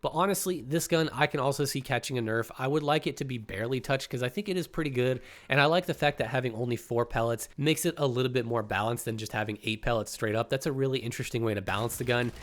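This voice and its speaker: A man's voice: mid-range voice, fast, hardly any pauses. Congested, slightly nasal male gaming YouTuber. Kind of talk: casual gaming commentary.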